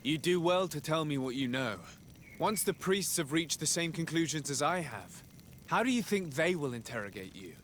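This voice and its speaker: An actor playing a composed, firm envoy in a video game, polite, formal and direct. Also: male voice, fairly high pitch, expressive, normal speed, a bit bright, slight background noise. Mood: probing. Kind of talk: video game cutscene acting.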